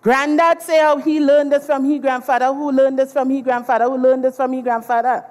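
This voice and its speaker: Trinidadian teller of old family tales played by a woman, highish voice, dramatized dialect, reverberant hall. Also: little pitch movement, brisk, close to an everyday voice. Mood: relishing, theatrical. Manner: theatrical, addresses the audience. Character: folklore storyteller recalling a grandparent's old tales. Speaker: storyteller, narrator. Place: large performance hall, on stage. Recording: echoey hall with long reverb.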